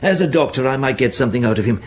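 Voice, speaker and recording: An actor playing a middle-aged family doctor in a radio drama, a careful, evasive old friend who speaks in a measured, reassuring way. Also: male voice, medium pitch, animated, close to an everyday voice. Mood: cautious, measured. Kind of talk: naturalistic radio drama acting.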